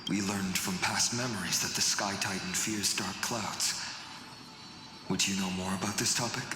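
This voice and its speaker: A man's voice: sits low, fairly even intonation, moderate pace, lightly acted. An actor playing a courteous, steadfast young swordsman hero in a fantasy game, speaking with polite, formal assurance. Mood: respectful, determined. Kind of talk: scripted fantasy game acting. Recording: echoey hall reverb, music behind.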